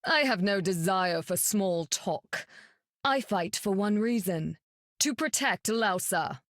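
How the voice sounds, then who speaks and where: A woman's voice: medium pitch, animated, slow pace, dry recording, clean studio sound. A woman playing a stern, proud warrior goddess in a video game, speaking in a formal, archaic, severe way. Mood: stern, resolute. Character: stern, proud warrior goddess, fierce protector of her sister. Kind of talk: video game character voice acting.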